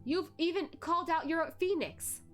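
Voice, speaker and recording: A woman voicing a brash young male disciple with an excitable, easily flustered manner. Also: extremely high pitch, moderate pace, faint music behind, voice pushed up. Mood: defiant.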